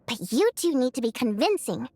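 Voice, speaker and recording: A woman's voice: a little high, sing-song, normal speed, mellow, husky, dry recording, heavily acted. A woman playing a bubbly, scheming young female singer in a video game, chatty and coaxing in a theatrical way. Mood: conspiratorial. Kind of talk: video game character dialogue.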